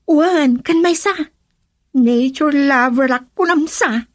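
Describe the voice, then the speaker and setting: A young Ilocano gal pal with a high voice, bossy and chatty. She exclaims and insists in radio drama style, recorded dry and close. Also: slow, dry recording. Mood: gossipy.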